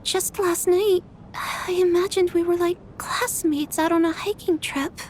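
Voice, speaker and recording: An actress voicing a naive young female guest who speaks in a soft, wistful way. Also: very high voice, fairly even intonation, measured pace, slight background noise. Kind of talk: video game voice acting.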